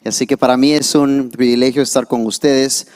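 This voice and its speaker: A man's voice: mid-range voice, fairly even intonation, a little fast, a little mellow. A young male pastor, a native English speaker, preaching a sermon with casual asides and restarts.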